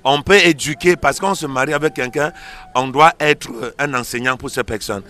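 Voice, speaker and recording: A male pastor answering interview questions. His voice is bright and forceful at an ordinary male pitch. He teaches emphatically, restating key phrases to drive them in. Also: normal speed. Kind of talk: explanatory interview answers on a religious show.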